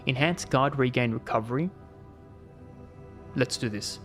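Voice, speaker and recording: A man's voice: medium pitch, measured pace, a bit bright, soft background music. A man streaming a video game, rambling with jokey asides as he plays. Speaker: gaming streamer. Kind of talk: casual gameplay commentary.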